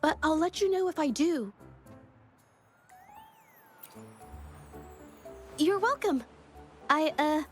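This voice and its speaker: Shy young female artist for a game: high, sweet, stammering, apologetic, easily flustered, soft music underneath. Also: lively intonation, normal speed. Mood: flustered, timid. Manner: hesitant, stammering, self-effacing. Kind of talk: video game character dialogue.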